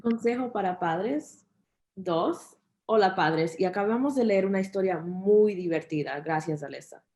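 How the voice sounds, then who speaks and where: A woman's voice: medium pitch, moderate pace, a little mellow. A woman interpreting a children's program, relaying instructions and fun facts to families and kids.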